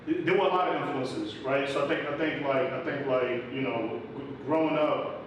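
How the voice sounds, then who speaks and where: A man's voice: medium pitch, normal speed. Slangy New guy in an echoey room. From New York, the Bronx. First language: Spanish. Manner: loose and rambling, many fillers and street slang. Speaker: entrepreneur guest. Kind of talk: casual interview talk. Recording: echoey room, far from mic, noisy.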